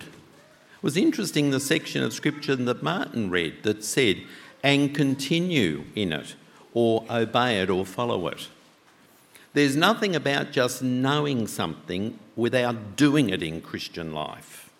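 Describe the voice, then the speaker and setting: A man preaching a sermon with a loud voice, talking conversationally and asking the congregation many questions. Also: medium pitch, vocal fry. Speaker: pastor. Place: church. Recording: long church reverb.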